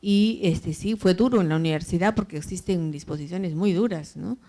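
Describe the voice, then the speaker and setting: A woman's voice: fairly low pitch, moderate pace, warm tone. A woman answering questions on a panel, hesitant, with many fillers and tag questions. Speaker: panelist, university program organizer.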